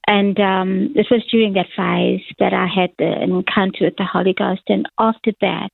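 South african-born lady in her 60s chatting on a phone line, gravelly edges, laughs a lot. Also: mid-range voice, fairly even intonation, moderate pace, no echo. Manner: chatty, laughs often, rambling. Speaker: interview guest. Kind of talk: casual testimony interview.

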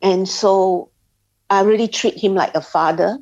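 A woman from Singapore telling her personal faith story as an interview guest, speaking haltingly with many pauses and restarts. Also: medium pitch, a little mellow. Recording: slightly dull lo-fi sound.

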